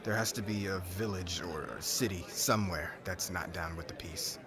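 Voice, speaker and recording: A man playing a brash, lovelorn young warrior groom in an ASMR audio drama, halting and informal, sounding exasperated. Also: low-pitched, crowd noise, lightly acted. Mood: dejected, pleading.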